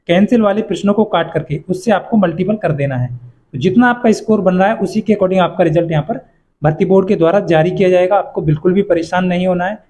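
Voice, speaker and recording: High-pitched man explaining exam updates. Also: darkish tone. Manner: instructive, reassuring, repetitive. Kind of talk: informal explainer for exam candidates.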